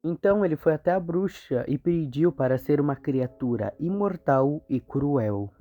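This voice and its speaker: A man's voice: fairly high pitch, expressive, normal speed, dark tone. A man with a Brazilian Portuguese accent narrating an informal, slangy storytelling recap as a video narrator. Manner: colloquial, slangy. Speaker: video narrator explaining horror series. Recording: muffled phone-like audio, music behind. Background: background music.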